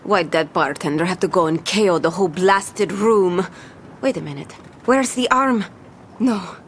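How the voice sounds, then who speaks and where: A woman's voice: on the low side, moderate pace, slight background noise, close to an everyday voice. A woman playing a bold, boastful young pirate captain in an audio drama, with theatrical, swaggering pirate talk. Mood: upset, dismayed.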